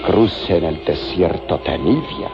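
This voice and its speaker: An actor playing a sinister crime boss who gives orders slowly and solemnly in a radio drama. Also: male voice, sits low, lively intonation, gravelly, exaggerated voice. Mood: commanding. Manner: slow, solemn, commanding. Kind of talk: Mexican radio drama acting. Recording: dull lo-fi radio recording with music.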